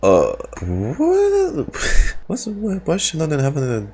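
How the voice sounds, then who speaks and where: A woman's voice: sits low, wildly swooping pitch, takes it slowly, a little mellow, a little hiss or hum. A woman giving blunt, exclamatory casual commentary as she reacts to a game. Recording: game music behind the voice.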